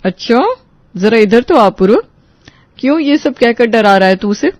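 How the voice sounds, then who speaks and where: A woman voicing a caring, sensible elder sister in a family audio drama, reassuring and gently scolding, slightly dull sound. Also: fairly high pitch, animated, moderate pace, no echo, voice pushed up, natural voice.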